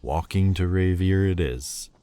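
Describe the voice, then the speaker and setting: A man voicing a sullen, proud demon lord who speaks with slow, scornful deliberation in an audio roleplay. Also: low-pitched, mellow, clear, resonant tone. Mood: weary.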